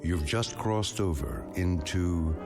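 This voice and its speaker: An actor playing a solemn, ominous narrator of an eerie radio anthology, speaking in a measured, portentous way. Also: male voice, low voice, expressive, music in the background. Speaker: series narrator. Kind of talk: dramatic scripted radio narration.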